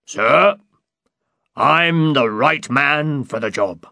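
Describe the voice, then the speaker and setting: Man playing a hearty, slow-spoken storybook innkeeper. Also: medium pitch, expressive, creaky, bright, forceful tone, no room sound, studio quality, pitched above the performer's own voice, full character voice. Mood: obliging. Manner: hearty, obliging, advising. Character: loud, friendly, practical male innkeeper humoring an eccentric. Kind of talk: dramatized storybook reading.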